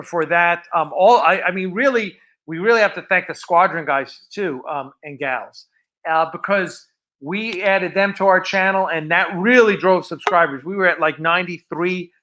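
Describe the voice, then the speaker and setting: Bright, high-voiced man hosting a livestream. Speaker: host of a fan production livestream. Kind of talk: casual livestream chat. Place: film set of a starship bridge.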